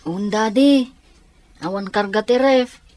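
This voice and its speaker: A woman's voice: medium pitch, slow, darkish tone, dry recording, close to an everyday voice. A woman playing a polite, earnest schoolchild in an Ilocano audio drama, speaking respectfully. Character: polite, grateful schoolchild living with widowed father.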